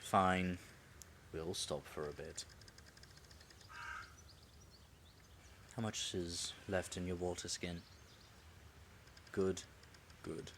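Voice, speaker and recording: Proud, secretly smitten dragon in human form , male actor; low voice, unhurried theatrical delivery with halting asides, audio drama, echoey room. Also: vocal fry, a bit bright, a little hiss or hum, exaggerated voice. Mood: evasive, breezy. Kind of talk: dramatic audio roleplay monologue.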